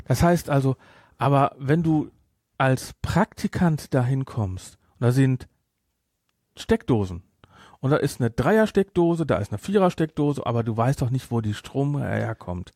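A man's voice: mid-range voice, animated, unhurried, darkish tone, no room sound. A German man co-hosts a casual film podcast in a mid-range voice. He explains things at length, rambling and correcting himself as he goes. The sound is very dry, with no room around him. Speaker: podcast co-host. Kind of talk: casual film discussion chat.

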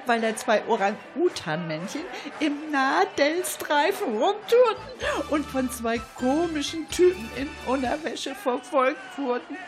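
A woman's voice: high voice, lively intonation, slow, over music. A woman telling a radio crime story in a colloquial storytelling style, as its narrator.

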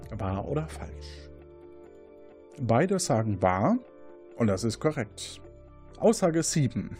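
Unhurried male quiz host, lively intonation. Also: mid-range voice. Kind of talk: presenting an audio quiz game.

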